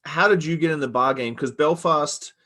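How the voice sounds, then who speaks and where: A man's voice: on the high side, normal speed, pushed and bright. A man who grew up in Australia, hosting a podcast in casual chat and rambling with many fillers and restarts. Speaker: podcast host. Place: Victoria, Canada.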